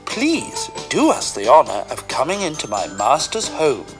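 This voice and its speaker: A man voicing a sly male talking cat who speaks in a smooth, courtly, flattering way, with music behind. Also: mid-range voice, measured pace, pitched above the performer's own voice. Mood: grand welcome. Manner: smooth and courtly, overly polite. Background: background music.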